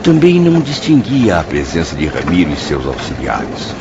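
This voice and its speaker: A man's voice: deep voice, huge pitch swings, normal speed, noisy background, heavily acted. A man delivering grave scripted narration in a radio drama. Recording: dull audio with music behind.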